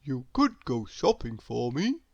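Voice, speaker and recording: A woman's voice: extremely low pitch, sing-song, measured pace, mellow, no echo, lo-fi sound, voice pushed down, heavily acted. A woman voicing a hapless, puzzled male cartoon character from a children's storybook, plain-spoken and bemused. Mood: needy.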